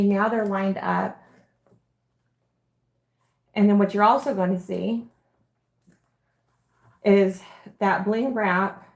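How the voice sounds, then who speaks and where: A middle-aged woman with a slightly hoarse voice, hosting a live stream and chatting her way through a craft demonstration step by step. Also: mid-range voice, a little mellow. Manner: chatty, rambling, talks through each step.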